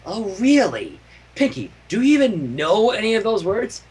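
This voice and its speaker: Man voicing a brash, lively tomboy pony. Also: high-pitched, moderate pace, a little hiss or hum, pitched above the performer's own voice. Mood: skeptical. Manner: casual, blurting, stammering. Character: brash, sheepish female cartoon pegasus pony, tomboyish. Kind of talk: amateur fan fiction voice acting.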